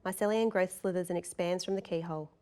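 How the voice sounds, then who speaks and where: A woman's voice: fairly low pitch, steady tone, fast, darkish tone. A woman reading scripted stage directions as the narrator of a table read.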